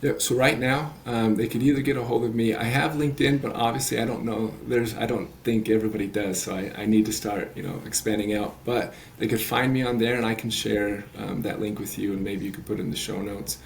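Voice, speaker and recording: A man in his late thirties with a lowish voice speaks earnestly, wandering through his points with plenty of fillers and restarts. A faint hiss of room ambience sits under him. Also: fairly even intonation, brisk, gravelly, few pauses. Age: 30s. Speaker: guest, resilience coach. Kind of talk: podcast interview.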